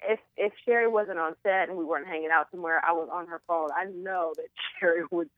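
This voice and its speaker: A woman guest actress chatting in a radio interview, bubbly and quick to laugh. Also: lowish voice, fairly even intonation. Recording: tinny phone line.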